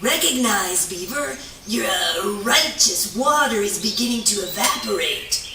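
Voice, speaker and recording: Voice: mid-range voice, lively intonation, measured pace, bright, forceful tone. A performer voicing a laid-back, philosophical surfer-dude animal in a children's puppet show, drawling slang and easily awed. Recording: echoey room, distant mic, faint noise.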